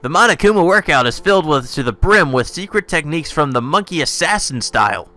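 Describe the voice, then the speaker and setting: A man's voice: fairly high pitch, animated, brisk, bright, forceful tone. A man voicing a mockingly cheerful, sadistic mascot bear from a video game, theatrical and taunting. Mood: boastful, indignant. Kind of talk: video game character voice-over.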